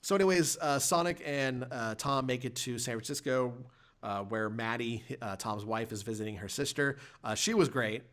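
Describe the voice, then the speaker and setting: Male, mid-pitched voice, podcast host, casual film review, stop-start rambling delivery. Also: normal speed, fairly bright tone. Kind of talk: casual film discussion, recapping and reviewing scenes.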